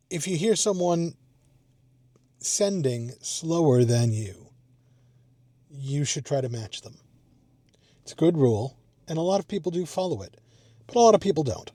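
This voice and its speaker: Mellow, rambling man chatting in a car. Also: a little high, animated, a little slow, pauses often, dry recording. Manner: rambling, self-deprecating, thinking aloud. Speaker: amateur radio vlogger. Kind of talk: casual unscripted vlog talk. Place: inside a parked car.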